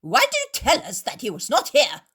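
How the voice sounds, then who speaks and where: A woman voicing proud, vainglorious male Pharisees who berate and then flatter. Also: on the high side, a little fast, slightly rough, voice pushed up, full character voice. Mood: furious. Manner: indignant shouting, then wheedling.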